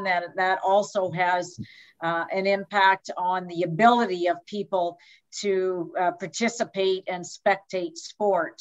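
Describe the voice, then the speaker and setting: A woman from Canada giving an online lecture over slides on a video call, speaking in a measured way with frequent hesitations. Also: lowish voice. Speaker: guest lecturer. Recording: slightly dull video-call audio.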